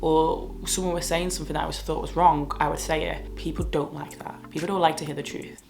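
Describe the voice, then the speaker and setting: A woman's voice: low voice, little pitch movement, brisk, vocal fry, darkish tone, a little hiss or hum. A woman in her twenties from the north of England with a northern English accent, talking bluntly in a casual Q&A vlog. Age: 20s. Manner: blunt and outspoken. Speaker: model and vlogger.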